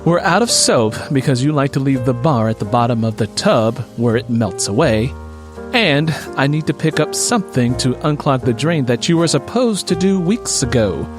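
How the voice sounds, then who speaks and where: A man's voice: mid-range voice, animated, moderate pace, over music, natural voice. Man voicing a nagging, critical wife. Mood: critical, spiteful. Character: critical, nagging, spiteful married woman.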